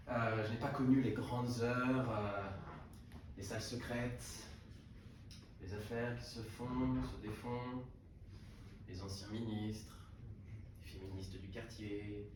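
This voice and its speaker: A man reading a literary novel aloud at a book prize event, unhurried and with frequent hesitations and restarts. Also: on the low side. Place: salon of a literary hotel. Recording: echoey room, distant mic, background noise.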